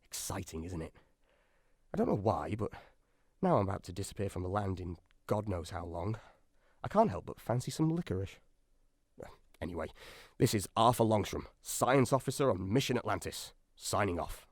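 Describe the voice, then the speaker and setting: A man's voice: low-pitched, very animated, normal speed, no room sound, studio quality. A man acting in a radio drama as a wry, anxious science officer aboard a submarine, chatty and dryly sarcastic.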